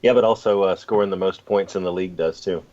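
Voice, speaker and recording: A man with a fairly low voice co-hosts a casual sports podcast. He talks in a dry way, and the sound is somewhat dull and lo-fi. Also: fairly even intonation, fast talker, warm tone. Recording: dull lo-fi audio.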